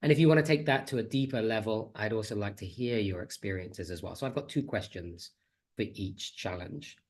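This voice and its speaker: A man's voice: fairly low pitch, a little fast. Man, slightly nasal from a cold, friendly conference presenter, video call mic. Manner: friendly, chatty, self-deprecating. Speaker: conference speaker, teacher trainer. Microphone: computer mic on a video call.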